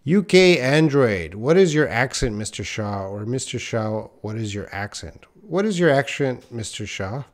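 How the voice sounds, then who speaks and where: A man's voice: medium pitch, very animated. A man in his thirties from Western Canada with a light Canadian accent, patiently answering viewers' questions in a live English lesson. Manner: slow, patient explaining with many pauses. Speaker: English teacher. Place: small indoor room by a whiteboard.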